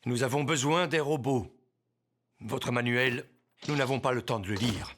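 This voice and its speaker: A man's voice: mid-range voice, moderate pace, a bit bright, slight background noise, lightly acted. A man voicing a formal, earnest official in a French cartoon dub, polite and grave. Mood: grave, pressing. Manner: courteous, grave.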